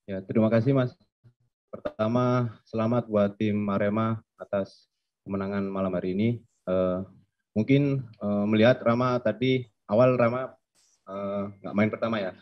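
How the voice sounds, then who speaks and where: A man's voice: on the low side, steady tone, normal speed, long pauses. A young man, a football player, answering press questions hesitantly with many fillers and speaking of himself by name. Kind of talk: post-match press answers. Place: press conference room. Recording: dull lo-fi stream audio.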